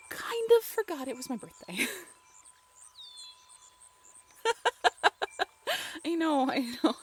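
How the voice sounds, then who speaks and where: Woman acting a high-voiced, playful young girlfriend. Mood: sheepish, amused. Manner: giggly, teasing, halting and expressive. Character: playful, teasing young woman with her werewolf lover. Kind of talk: intimate roleplay audio drama, natural conversational acting.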